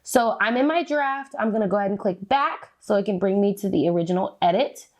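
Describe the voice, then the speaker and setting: A woman's voice: mid-range voice, fairly quick. A woman with an American accent, talking informally and with slang as a vlogger walking viewers through a step-by-step tutorial. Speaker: beauty vlogger giving a tutorial. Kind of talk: casual step-by-step tutorial chat.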